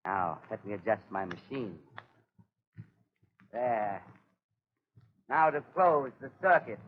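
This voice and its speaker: A man's voice: on the low side, sing-song, slow. An actor playing a gloating mad inventor in a 1930s radio drama, mock-polite and menacing as he savours his cruelty, on a noisy, muffled old recording. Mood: smug, commanding.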